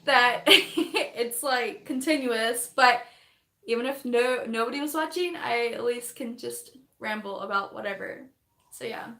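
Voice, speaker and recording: A young woman, chatting on a phone livestream while doing her makeup, rambling fast, laughing often and losing her voice. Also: on the high side, pushed and bright, echoey room. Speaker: livestreaming vlogger.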